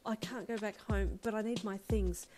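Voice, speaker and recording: A woman's voice: mid-range voice, fairly even intonation, normal speed, music in the background, voice pushed up, natural voice. A woman voicing an anxious, hot-tempered young adult daughter in a dramatized audio story, blunt and exclamatory.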